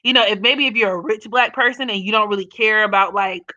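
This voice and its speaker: A young woman with a raspy voice hosting a casual live stream, reading comments and giving blunt, profane opinions with frequent laughs. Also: medium pitch, quick pace, pushed and bright, dry recording, muffled recording.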